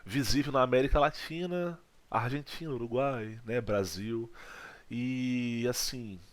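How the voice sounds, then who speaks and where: Lively Brazilian physics teacher. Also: male voice, mid-range voice, a little slow. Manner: lively teacher, checks in with "legal?" and "tá?". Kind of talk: video lesson.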